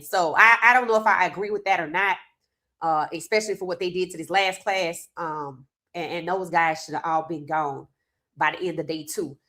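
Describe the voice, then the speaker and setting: A woman's voice: fairly low pitch, a bit bright. A woman hosting a casual sports podcast, a little congested from a cold, chatting loosely and talking straight to her viewers. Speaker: podcast host.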